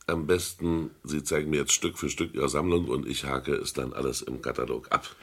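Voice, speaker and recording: An actor playing a seasoned, discreet art appraiser in a German crime radio play, deliberate, polite and soothing. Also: male voice, steady tone, fairly bright tone, slight background noise, full character voice. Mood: calm, firm. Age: middle-aged or older. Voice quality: very deep, husky.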